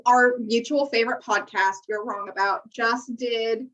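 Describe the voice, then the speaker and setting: A woman's voice: a little high, steady tone, a little slow, fairly bright tone, dull audio. An American woman co-hosting a podcast in casual chat, with a dry, teasing, sarcastic way of talking. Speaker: podcast co-host. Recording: slightly distant microphone sound.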